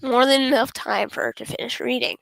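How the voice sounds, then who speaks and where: Woman streamer reading a visual novel out loud, highish voice, slow and halting, dry close sound, random asides. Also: vocal fry, no echo, rough recording. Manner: halting, stumbling over lines while reading. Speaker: gaming streamer.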